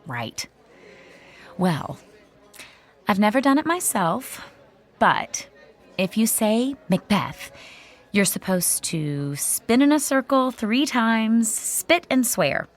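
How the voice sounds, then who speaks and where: A woman's voice: fairly high pitch, sing-song, slow pace, long pauses, soft background music. A woman playing a chatty, superstitious actress in an audio drama, explaining things in an earnest, teasing, theatrical way. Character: chatty, superstitious actress who believes in spells.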